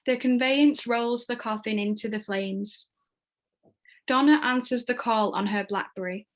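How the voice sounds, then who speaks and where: A woman with a fairly high voice reads screenplay action for a table read. Her delivery is scripted and descriptive, moving through scene headings and stage directions. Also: a little slow.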